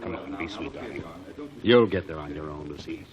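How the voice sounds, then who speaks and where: A man's voice: a bass voice, lively intonation, fairly quick, crowd noise. An actor playing an earnest male theater producer who speaks persuasively, in 1940s radio acting. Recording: dull old radio broadcast, faint hiss.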